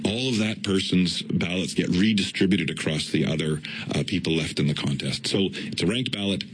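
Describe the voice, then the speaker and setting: Deep husky man on the radio. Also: rough recording. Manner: deliberate, explanatory, campaign-pitch delivery. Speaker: political candidate, interview guest. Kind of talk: radio interview answers.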